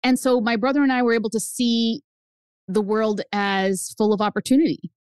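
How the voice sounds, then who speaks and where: A woman in her fifties whose first language is Spanish, telling her family story as a guest author, animated and jumping up in pitch for emphasis. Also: normal speed, clear, resonant tone, no room sound, clean studio sound. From United States. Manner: animated, swings up high for emphasis. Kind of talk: personal storytelling in an interview.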